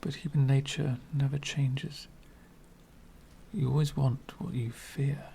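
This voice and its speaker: Man voicing a slow, whispering, gravelly old vampire. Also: mid-range voice, flat delivery, slight background noise. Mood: wistful. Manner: soft-spoken, often dropping into breathy whispers; courtly, archaic, gently condescending. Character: elegant, centuries-old male vampire host, lonely and wry. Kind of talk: ASMR roleplay.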